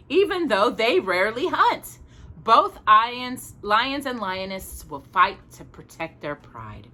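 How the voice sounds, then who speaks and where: A woman hosting a storytime, reading a picture book aloud in an expressive, chatty way with casual asides. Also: mid-range voice. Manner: expressive, chatty, stumbles and corrects herself.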